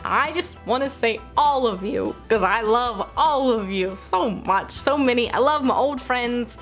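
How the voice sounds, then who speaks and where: A woman's voice: on the high side, lively intonation, measured pace, soft background music. A woman chatting casually as a book vlogger, playful and self-interrupting, gushing and repeating words. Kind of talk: casual chatty vlog to camera.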